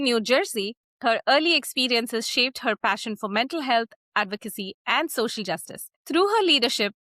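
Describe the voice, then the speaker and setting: Higher-pitched woman hosting a podcast. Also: bright, forceful tone, no echo, clean studio sound. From India. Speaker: podcast host. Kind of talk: scripted podcast introduction and interviewing.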